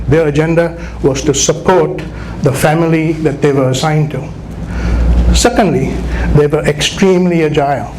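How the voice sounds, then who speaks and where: A man's voice: on the high side, a little mellow, low-quality recording. A middle-aged man with a Sri Lankan accent gives a conversational motivational speech to an audience, often checking in with "right?". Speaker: guest motivational speaker. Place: live talk at a business chamber event. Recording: clear background noise, live event.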